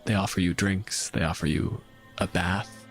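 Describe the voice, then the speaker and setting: A man narrating as game master of a tabletop game in an animated, casual way, ready to swear. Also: deep voice, normal speed, vocal fry, faint music behind.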